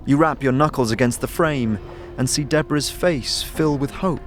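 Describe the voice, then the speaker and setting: A man's voice: mid-range voice, moderate pace, faint music behind. A man reading scripted second-person fantasy narration as the storyteller of an audio drama. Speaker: narrator and protagonist's voice.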